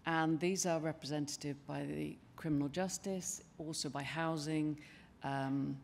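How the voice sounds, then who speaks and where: Low-voiced woman presenting at a lectern. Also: steady tone, gravelly, a little mellow, a little hiss or hum. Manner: measured, conversational, with frequent ums and restarts. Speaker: conference speaker. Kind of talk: academic conference presentation. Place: large wood-panelled conference hall. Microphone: lectern microphone.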